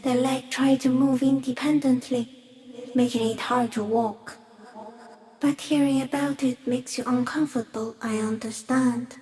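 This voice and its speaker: A woman voicing an ancient, serene giant stick insect in a video game, musing softly in halting, deliberate fragments. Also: sits high, fairly even intonation, low-quality recording. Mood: calm, grave. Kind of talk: video game character voice acting.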